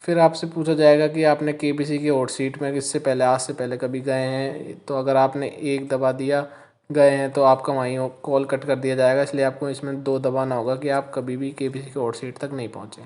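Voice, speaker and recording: A man's voice: medium pitch, little pitch movement, moderate pace, darkish tone. A man presenting an explanatory voice-over tutorial, talking listeners plainly through each step.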